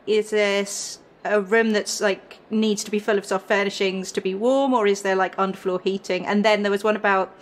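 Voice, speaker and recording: A woman guest chatting casually in an interview, talkative and quick to laugh. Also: mid-range voice, fairly even intonation, a little hiss or hum. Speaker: historical consultant, podcast guest.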